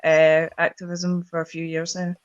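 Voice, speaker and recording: A woman's voice: lowish voice, completely flat delivery, moderate pace, clean tone. A woman from Scotland, a podcast guest and activist, introducing herself hesitantly with many fillers, heard on dull, muffled audio. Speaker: guest, anti-racism activist.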